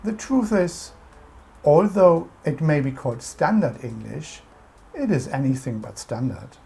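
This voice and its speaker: German-accented woman, low lively voice. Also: unhurried, gravelly. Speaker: co-host teaching about English culture. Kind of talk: scripted explainer narration.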